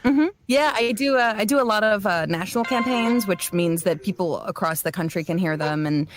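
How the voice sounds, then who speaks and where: A woman's voice: mid-range voice, expressive, moderate pace. A woman voice actor and director talking casually in an interview, chatty and a little self-deprecating.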